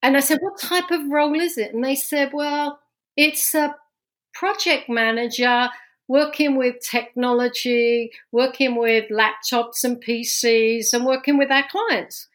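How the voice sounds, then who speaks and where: A fairly high-voiced woman with a London accent talks through her own story as a podcast guest. She talks casually, restarting her sentences often and laughing now and then. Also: steady tone, measured pace, a bit bright. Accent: London English. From London, England. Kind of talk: casual interview talk.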